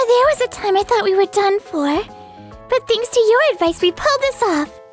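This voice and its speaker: A woman playing an excitable, grateful young female office worker in a comic dub, bubbly and earnest. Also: extremely high pitch, normal speed, faint music behind. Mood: delighted, grateful. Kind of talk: anime-style comic dub acting.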